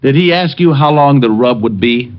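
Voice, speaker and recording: An actor playing a breezy, sardonic private detective in an old radio drama, with a dry, flippant manner. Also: male voice, mid-range voice, expressive, normal speed, natural voice. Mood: sardonic, puzzled. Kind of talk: 1940s-50s radio detective acting. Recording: dull old radio recording, loud music.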